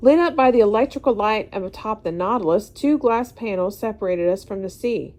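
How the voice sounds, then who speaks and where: A woman's voice: mid-range voice, animated, normal speed, a little mellow. A woman narrating a classic novel aloud expressively, now and then stumbling over a word. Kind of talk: read-aloud storybook narration.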